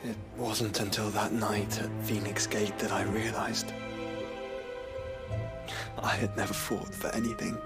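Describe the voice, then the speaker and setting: A man's voice: lowish voice, level tone, measured pace, long pauses. A man playing an earnest, reflective noble son in a video game, sincere and slightly formal. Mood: regretful. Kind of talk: dramatic video game acting. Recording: loud background music under the voice.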